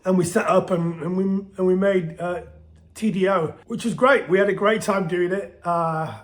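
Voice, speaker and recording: A middle-aged man talks casually in a soft, slightly high voice. He rambles warmly through a long story, restarting sentences and leaning on fillers as he goes. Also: fairly even intonation, moderate pace. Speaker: band singer telling its history. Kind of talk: casual spoken storytelling to camera.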